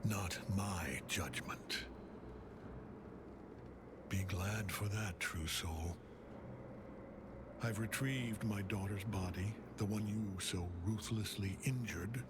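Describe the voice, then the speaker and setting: An actor playing a bitter, grieving older father and menacing cult general in a video game cutscene, solemn and deliberate, at times breathy. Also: fairly low pitch, background noise. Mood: cold, bitter menace. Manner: solemn, deliberate storyteller, dropping into breathy near-whispers. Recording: music under the voice.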